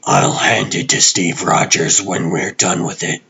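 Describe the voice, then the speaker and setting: A cool, unhinged comic-book vigilante, played by a man, speaking low and husky in clipped deadpan. He sounds terse and gruff, taking his time over short lines. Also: unhurried, full character voice. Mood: terse, dry.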